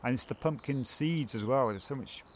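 A man's voice: medium pitch, moderate pace, slight background noise. A man giving gardening advice in a casual, hesitant chat, often repeating his words.

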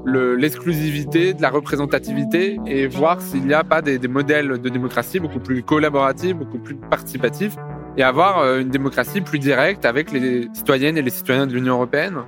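Male interviewee, medium pitch, hesitant unscripted delivery, clear guitar music underneath. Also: a little fast. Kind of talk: spontaneous interview answer. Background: music with plucked guitar.

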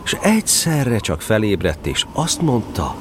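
A man's voice: fairly low pitch, very animated, noisy background. A man narrating a children's story expressively, playfully drawing out phrases for effect.

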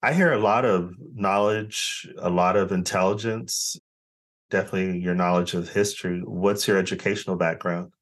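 A man's voice: sits low, steady tone, moderate pace. A man hosting an interview, curious and encouraging toward his guest. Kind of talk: interview conversation.

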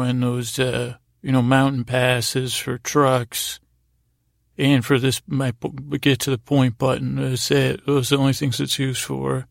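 A man hosting a bedtime podcast in a halting, rambling, easily distracted way, meant to lull listeners to sleep. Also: medium pitch, gravelly, no room sound, studio quality. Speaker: podcast host. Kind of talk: rambling bedtime monologue to help listeners fall asleep.

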